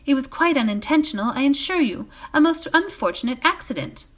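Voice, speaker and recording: A woman's voice: high-pitched, animated, a little fast, pitched above the performer's own voice. A woman voicing a polite, uneasy male hobbit traveler in a storybook, courteous and defensive. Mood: apologetic, polite.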